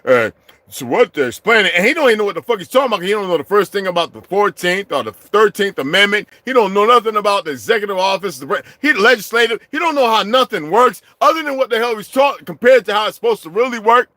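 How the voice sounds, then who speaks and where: A middle-aged man delivering a heated, profane vlog rant, swinging from low muttering to shouting. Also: expressive, quick pace, no room sound. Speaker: vlogger. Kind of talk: profane ranting monologue.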